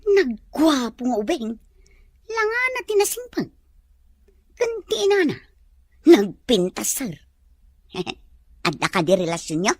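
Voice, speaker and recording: A woman's voice: sits high, big pitch swings, slow, long pauses, dry recording, clean studio sound, full character voice. A woman playing a gossipy, admiring household maid in an Ilocano drama, deferential yet nosy as she talks. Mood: curious, admiring. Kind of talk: Ilocano radio-style drama acting.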